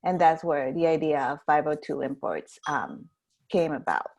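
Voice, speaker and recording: Lowish-voiced woman from guatemala on a video call, lots of ums and restarts. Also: big pitch swings, moderate pace, creaky, darkish tone. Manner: hesitant, many fillers and restarts. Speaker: coffee importer guest. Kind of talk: webinar interview.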